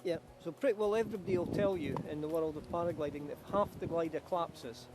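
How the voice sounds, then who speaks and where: A middle-aged man from Kenya, a paragliding instructor, chatting with self-assured quick asides outdoors by a beach. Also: fairly high pitch, music in the background, poor audio. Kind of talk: casual chat to camera outdoors. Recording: outdoor wind and traffic noise.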